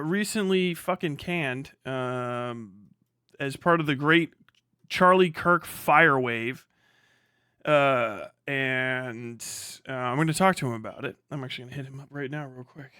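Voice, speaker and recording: Bright, high-pitched man hosting a livestream. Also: expressive, slow pace, smooth, dry recording, studio quality. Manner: profane, slangy, irreverent. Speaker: live stream host. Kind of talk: casual rambling livestream commentary.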